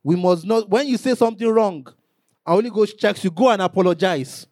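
Man preaching, fairly high voice. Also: brisk, a little mellow, no echo, studio quality. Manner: preaching with rising emphatic bursts and acted quotes. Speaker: pastor. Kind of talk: sermon.

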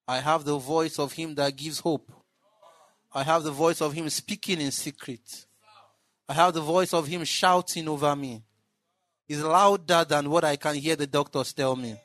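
Preacher with a raised voice. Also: male voice, on the high side, dry recording. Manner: preaching that swells into shouted exclamations. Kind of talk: sermon. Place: church.

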